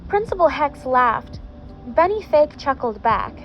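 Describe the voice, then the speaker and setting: A woman's voice: high voice, very animated, a little slow, a little hiss or hum. A woman reading a fanfic story aloud, doing every part in her own voice. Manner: reads all parts in her own voice. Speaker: fanfic reader. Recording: slightly muffled, faint music behind.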